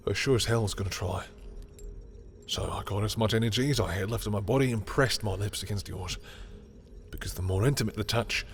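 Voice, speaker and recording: Man acting a low-voiced, proud, lovestruck villain. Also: lightly acted. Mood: weary, resigned. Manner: intense, halting confession, swearing, sometimes dropping to whispers. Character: proud, defensive male villain with powers, secretly lovestruck. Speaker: voice actor in a romantic audio roleplay. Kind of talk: dramatic audio roleplay monologue addressed to the listener. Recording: music behind the voice.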